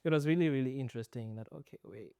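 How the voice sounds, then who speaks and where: A man's voice: medium pitch, fast, creaky, dark tone, clear, resonant tone, no room sound. Guy rambling thru a story, hesitant n amused, dry mic. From Zimbabwe. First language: a Zimbabwean language. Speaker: guest actor. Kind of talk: casual podcast chat.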